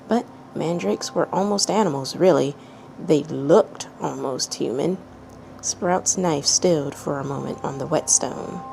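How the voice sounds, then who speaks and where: A woman's voice: low-pitched, vocal fry, noisy background. A woman reading a story aloud as a dramatic narrator, in the manner of someone sharing fan fiction. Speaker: fan fiction reader and channel host.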